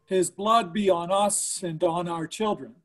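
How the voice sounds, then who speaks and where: A man with a high-pitched voice reads scripture dialogue. It is a dramatic church reading. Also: fairly even intonation, unhurried, a bit bright, rough recording. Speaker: church reader.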